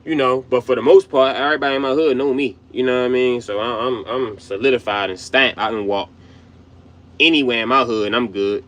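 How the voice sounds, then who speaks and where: A man's voice: mid-range voice, fairly bright tone. A young adult man from Baltimore with a Baltimore accent, hosting his channel in a loose, slangy, profane commentary monologue. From Baltimore, USA. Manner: loose slangy rambling, frequent "you know what I mean"; profane, emphatic. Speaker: channel host.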